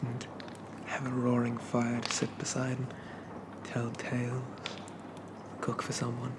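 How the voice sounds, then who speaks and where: A man playing a shy, polite, lovestruck Victorian zombie gentleman, speaking softly and haltingly with flowery apologies in an ASMR roleplay. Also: medium pitch, monotone, heavy background noise, natural voice. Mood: bashful, musing. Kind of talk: ASMR roleplay, soft-spoken character monologue.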